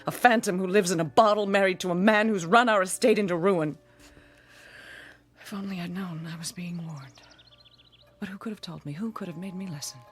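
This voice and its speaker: An actress playing a bitter, haunted, hard-drinking woman in her forties in a radio drama, theatrical and sardonic with a husky voice. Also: fairly low pitch, a little fast. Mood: bitter regret. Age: 40s. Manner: theatrical, sardonic, self-pitying. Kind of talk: old-time radio drama acting. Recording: radio drama with music underneath.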